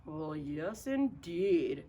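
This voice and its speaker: A woman's voice: deep voice, very animated, very slow, mellow, exaggerated voice. A woman voicing a polite, well-spoken would-be pirate in a children's storybook, speaking in a prim, overly proper manner. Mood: mild, earnest. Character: polite, well-spoken male rascals hoping to join the crew. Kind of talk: children's storybook read-aloud.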